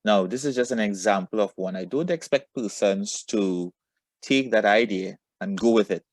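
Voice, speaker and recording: A man speaks as a teacher in a fairly low voice. He guides students with questions and clear instructions, stressing key words in an encouraging way. The sound is dry, with little room echo. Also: moderate pace.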